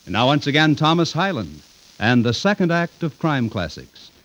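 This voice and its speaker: A man's voice: fairly high pitch, very animated, moderate pace, fairly bright tone. An American man reading scripted copy as a 1950s radio announcer, in a polished, formal way. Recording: muffled vintage radio recording.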